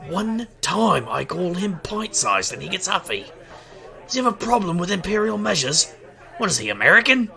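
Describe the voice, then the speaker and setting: An actor playing a wry, stubborn street magician detective in an audio drama, with a gravelly, sardonic delivery. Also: male voice, sits high, very animated, moderate pace, pushed and bright, some chatter behind, heavily acted. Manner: sardonic, wisecracking. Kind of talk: audio drama acting and noir-like narration.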